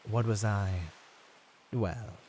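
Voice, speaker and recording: A man playing a merman siren in an ASMR roleplay, speaking in courteous, formal phrases as a seemingly gentle creature with a predatory streak. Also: fairly low pitch, steady tone, a little hiss or hum. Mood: polite, hesitant. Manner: soft, courteous, formal, halting speech. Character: male merman siren, feigning gentle innocence, secretly predatory.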